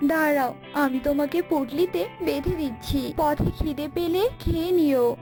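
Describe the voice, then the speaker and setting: Cartoon wife voiced by a woman: high-pitched, humble villager, caring and urging, music clearly behind. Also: moderate pace, warm tone, natural voice. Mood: encouraging. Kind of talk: cartoon story dialogue.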